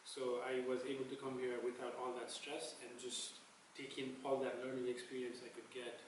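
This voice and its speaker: A man from Nepal, a tech founder, telling his story in a relaxed onstage interview and chuckling as he talks. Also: mid-range voice, normal speed. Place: event venue, echoey room. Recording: echoey room, far mic, background noise.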